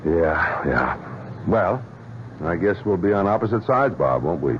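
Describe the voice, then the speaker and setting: Animated, skeptical colleague, old radio thriller. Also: male voice, sits low, measured pace. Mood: cautious. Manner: incredulous, reasoning, emphatic. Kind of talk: 1970s radio drama acting. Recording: muffled, noisy vintage radio broadcast.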